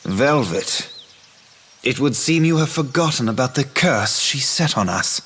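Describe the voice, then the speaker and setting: An actor playing a bitter, proud young outcast brother in a fantasy game, scornful and dramatic with archaic phrasing. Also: male voice, medium pitch, expressive, a little slow, a bit bright, husky.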